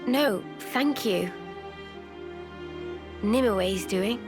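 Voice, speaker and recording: An actress playing a tender, appreciative young heroine in a fantasy video game, with an informal, soft-hearted manner. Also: female voice, mid-range voice, drawn-out words, music in the background. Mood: touched, grateful. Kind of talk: video game cutscene acting.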